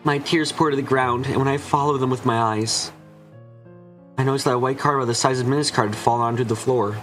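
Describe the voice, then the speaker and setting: A man's voice: mid-range voice, steady tone, fast, a bit bright, soft background music, lightly acted. A man playing an earnest, remorseful young man who narrates his own story in a dramatic visual novel style. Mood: tender, sorrowful.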